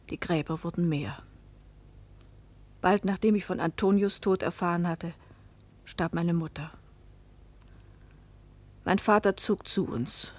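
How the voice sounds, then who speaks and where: An actress playing a poor fishing-town woman who recounts her hard life in a restrained literary radio play narration. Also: low voice. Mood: sorrowful, restrained.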